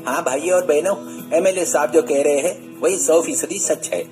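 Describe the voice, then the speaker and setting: A man's voice: on the high side, moderate pace, pitched above the performer's own voice, close to an everyday voice. A man playing a sly, fawning, sarcastic assistant to a politician in a cartoon. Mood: mock-solemn. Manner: mock-solemn, sarcastic. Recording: music bed under the voice.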